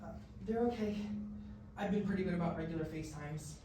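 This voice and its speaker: A man's voice: high-pitched, quick pace, background noise, lightly acted. A man playing an anxious, self-doubting college music student in a musical theatre staged reading, with a hesitant, self-deprecating manner. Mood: hesitant, withdrawn. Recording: echoey room from far off, piano accompaniment.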